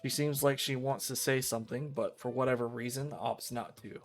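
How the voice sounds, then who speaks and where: A man with a middling pitch streams a visual novel, reading and chatting. Soft music plays behind him. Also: steady tone, normal speed. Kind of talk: reading visual novel narration and dialogue aloud on a livestream.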